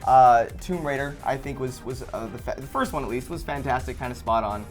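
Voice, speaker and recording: A young man hosts a loose panel chat about games, rattling along at a quick clip. He rambles with laughing asides, and faint music sits underneath. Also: medium pitch. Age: 20s. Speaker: host.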